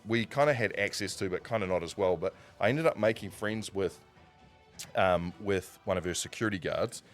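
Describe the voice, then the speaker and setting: A man with a New Zealand accent telling stories casually as a livestream host, in an animated raconteur's way with dramatic pauses. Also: lowish voice. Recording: music playing behind the voice. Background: background music.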